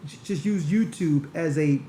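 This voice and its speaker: A church elder speaks quickly and rambles, restarting phrases as his thoughts run ahead. His voice is a bit high and bounces around an echoey room with a faint hiss. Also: warm tone. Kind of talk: talk show commentary.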